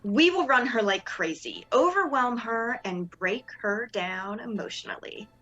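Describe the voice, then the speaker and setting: A young woman playing a composed, calculating sorority leader in a dramatic reading, with formal, deliberate phrasing. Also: fairly high pitch, lively intonation, fairly bright tone, muffled recording. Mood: resolute.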